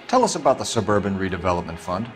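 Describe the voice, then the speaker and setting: An actor playing a brisk, stern 1940s police detective in a video game, asking clipped, businesslike questions. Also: male voice, sits low, big pitch swings. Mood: probing, pointed. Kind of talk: video game cutscene acting. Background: background music.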